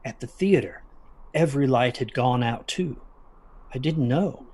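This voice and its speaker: An actor playing an anxious, guilt-ridden young son, narrating a story in the first person with literary intensity. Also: male voice, mid-range voice, a little slow, darkish tone, slightly rough. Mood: urgent.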